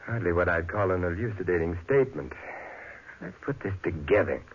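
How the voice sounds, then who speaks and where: An actor playing a suave, unflappable amateur sleuth in a 1950s radio drama, dry and teasing. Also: male voice, low-pitched, animated, brisk, husky, full character voice. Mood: wry, probing. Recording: muffled vintage radio broadcast recording.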